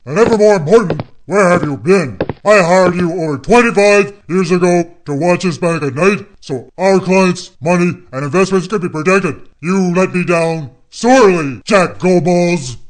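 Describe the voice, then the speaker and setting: A man plays a distraught bank manager in a radio play. His voice sits high for a man, and he scolds and frets with dramatic emphasis, like a fussy boss. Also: normal speed, hardly any pauses, lightly acted. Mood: indignant, wounded.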